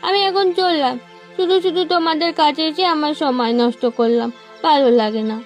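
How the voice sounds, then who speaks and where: A woman's voice: high-pitched, brisk, faint music behind, close to an everyday voice. A woman voicing a cheeky, outspoken young village girl in a folk-tale cartoon, pert and indignant. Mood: scolding.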